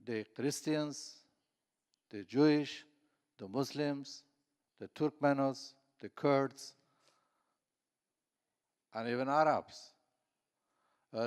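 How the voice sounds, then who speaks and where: Slow, halting man. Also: medium pitch, darkish tone, clear, resonant tone. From southeast Turkey. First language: Kurdish. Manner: halting, many fillers and restarts. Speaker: conference panelist. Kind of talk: conference panel talk. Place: conference hall. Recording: echoey conference hall.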